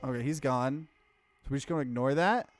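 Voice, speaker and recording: A man's voice: medium pitch, brisk, no room sound, faint music behind. A male let's player reading game narration aloud and reacting casually, often cutting into his own sentences.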